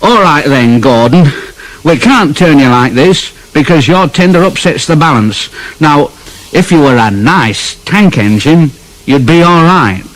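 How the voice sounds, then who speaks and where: A man's voice: on the high side, animated, measured pace, poor audio. A man voicing an exasperated male train driver who scolds in a fed-up way.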